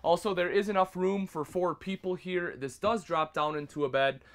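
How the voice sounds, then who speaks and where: A man's voice: sits high, steady tone, moderate pace. A man in his thirties, an RV product specialist, giving an upbeat walkthrough tour inside a small travel trailer and calling viewers folks.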